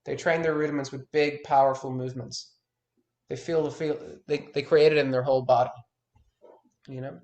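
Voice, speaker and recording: A man's voice: mid-range voice, steady tone, normal speed, a little mellow, roomy sound, highs cut off. A man in his thirties, a guitar teacher, talking frankly in a one-on-one consultation and restarting his words as he stresses his points. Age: 30s.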